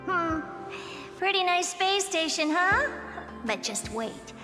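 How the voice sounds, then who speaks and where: High, breezy genius in long reverb. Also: female voice, expressive, slow, full character voice. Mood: breezy, knowing. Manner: breezy, confident, casual. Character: self-assured, casually teasing female genius scientist. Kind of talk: video game character acting. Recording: long reverb under clear music.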